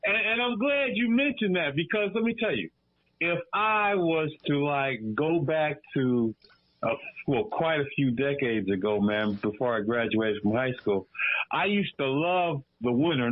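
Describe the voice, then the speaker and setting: A man's voice: mid-range voice, no echo. A man in his fifties from Detroit, chatting casually as a guest on a radio show and dropping "man" into nearly every sentence. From Detroit, Michigan. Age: 50s. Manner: laid-back, says "man" constantly. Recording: phone line.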